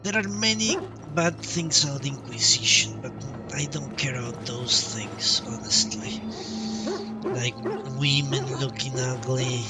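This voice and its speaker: A man livestreaming a game playthrough, chatting casually with viewers in a halting, opinionated way. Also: medium pitch. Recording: distant mic, game music behind.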